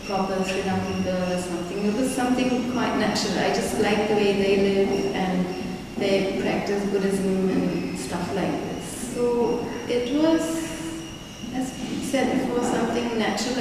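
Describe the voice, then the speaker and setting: A young woman, an artist talking reflectively in an interview, often pausing and restarting as she searches for words. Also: mid-range voice. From Germany. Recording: echoey room, mic far off, background noise.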